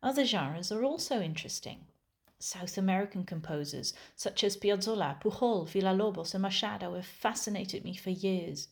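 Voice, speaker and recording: A woman whose first language is Dutch, a musician giving a calm, thoughtful scripted monologue. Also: mid-range voice, normal speed, gravelly.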